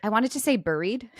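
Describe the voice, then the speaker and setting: A woman's voice: on the high side, dry recording, clean studio sound. A woman co-hosting a casual talk show, telling stories conversationally and acting out quotes as she goes. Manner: conversational storytelling with quoted asides. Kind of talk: casual chat, retelling a viral story.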